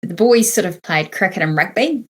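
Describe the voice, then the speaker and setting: Relaxed New woman, lower voice. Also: moderate pace, husky. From New Zealand. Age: 30s. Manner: relaxed, rambling, many fillers. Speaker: guest, former Olympian. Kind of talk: casual conversational interview.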